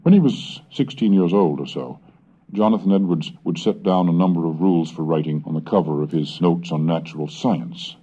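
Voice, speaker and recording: A man giving calm, scholarly scripted narration as a lecturer, on a dull old cassette recording. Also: normal speed, slightly rough. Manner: calm, scholarly, low-key. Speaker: reader and lecturer on language history.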